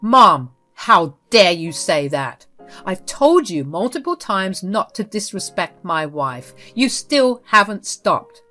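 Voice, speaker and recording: A woman voicing an angry husband who loudly and indignantly defends his wife. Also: on the low side, a little slow, hardly any pauses, faint music behind.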